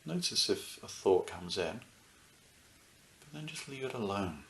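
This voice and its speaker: Slow, low-voiced man guiding meditation, gravelly edge. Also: lively intonation, a bit bright, echoey room, a little hiss or hum. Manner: soothing, unhurried pauses between short phrases. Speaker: meditation teacher. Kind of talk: guided meditation, unscripted and gentle.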